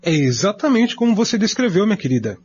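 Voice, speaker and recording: An actor playing a courteous, methodical researcher in a radio drama, speaking formally with a Brazilian accent. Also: male voice, high voice, sing-song, fast, a little mellow. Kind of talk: scripted radio drama acting. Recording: dull lo-fi audio with faint hum.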